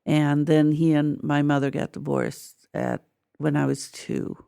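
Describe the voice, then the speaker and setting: An older woman with a mixed Midwestern, New York and Southern accent and a trace of Spanish, talking patiently like a teacher in a quiet room. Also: low-pitched, very animated, unhurried, creaky, clean tone, dry recording, studio quality. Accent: hybrid of Midwest, New York and Southern with a trace of Spanish. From Midwestern United States. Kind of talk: casual conversation.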